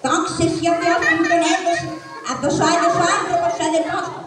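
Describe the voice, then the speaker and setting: A woman's voice: extremely high pitch, flat delivery, loud music behind the voice. A woman voicing a timid, fawning male sidekick in a live shadow puppet show, whining and full of self-pity. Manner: whiny and self-pitying. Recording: echoey hall from far off, with an audience.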